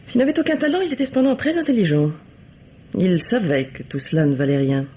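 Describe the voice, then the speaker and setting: An actress playing a composed, coolly bitter middle-aged widow in a French radio mystery play, speaking formally and deliberately. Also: female voice, mid-range voice, warm tone, slight background noise, close to an everyday voice. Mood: measured, disdainful. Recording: dull, lo-fi vintage radio recording.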